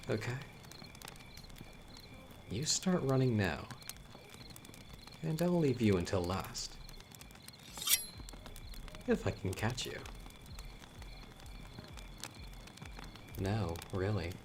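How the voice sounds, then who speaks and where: A man playing a chatty young storyteller with hidden menace in a horror audio roleplay, talking casually with wry asides and hesitant pauses. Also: on the low side, animated, background noise. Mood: quietly menacing.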